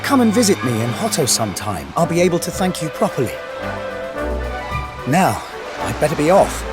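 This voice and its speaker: A man's voice: on the high side, big pitch swings, normal speed. An actor playing a polite, humble, earnest townsman in a video game. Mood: grateful. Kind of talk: video game cutscene acting. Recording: loud game music behind.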